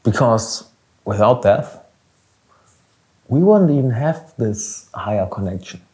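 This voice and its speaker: A man's voice: mid-range voice, expressive, takes it slowly, a little mellow, poor audio. A young man with a German accent, hosting a casual motivational podcast in an earnest, emphatic way with some swearing. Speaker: podcast host and business coach.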